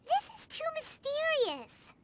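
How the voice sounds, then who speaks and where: A woman voicing a small, excitable, inquisitive childlike companion with a squeaky voice in an English video game dub. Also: takes it slowly, a little hiss or hum, full character voice. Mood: puzzled. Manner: exclamatory, inquisitive. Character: small floating childlike girl companion, excitable, speaks in third person.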